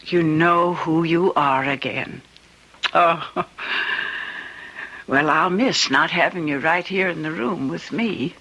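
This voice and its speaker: A frail old grandmother in a radio play. Her voice is husky and cracked with creak, and she speaks slowly, in gentle, halting phrases full of tender longing. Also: deep voice, full character voice, low-quality recording. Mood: tender, glad. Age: older. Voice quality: frail, breathy rasp. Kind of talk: radio drama acting.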